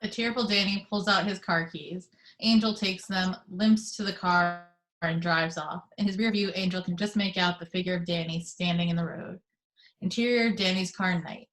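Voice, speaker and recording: A woman reading the action descriptions aloud in steady, measured narration for an amateur table read over a video call. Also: fairly low pitch, low-quality recording. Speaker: reader of stage directions.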